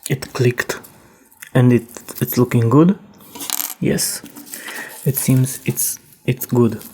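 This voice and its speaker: A man talks through a repair casually, thinking aloud as he goes. His delivery is slow and halting, with a soft-edged, mellow tone at a middling pitch. There is faint noise behind him. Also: fairly even intonation. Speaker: host demonstrating a cassette repair. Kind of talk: casual hands-on repair narration.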